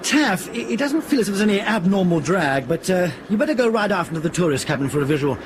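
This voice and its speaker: An actor playing an unflappable, dryly joking British airline captain in a radio drama, brisk, understated and commanding. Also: male voice, high-pitched, very animated. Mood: reassuring, brisk. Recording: noisy aircraft cockpit sound effects.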